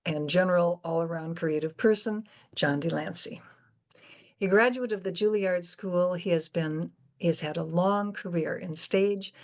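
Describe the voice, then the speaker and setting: An older woman acting as moderator, introducing and interviewing a guest. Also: fairly low pitch.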